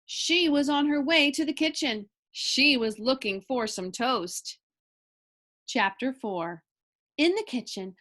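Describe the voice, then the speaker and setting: A woman reading a children's story aloud with expressive narration. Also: high voice.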